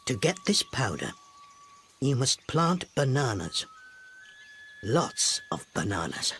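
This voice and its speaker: A woman voicing a wily, secretive old father-in-law in a storybook tale, speaking emphatically with dramatic pauses. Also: very deep voice, very animated, a little hiss or hum, voice pushed down, exaggerated voice. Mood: hushed, instructive.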